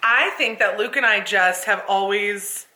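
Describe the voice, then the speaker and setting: A woman's voice: mid-range voice, a little slow, sharp tone, slight background noise. A woman in her thirties chatting casually and upbeat as a podcast co-host. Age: 30s. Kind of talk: casual chat.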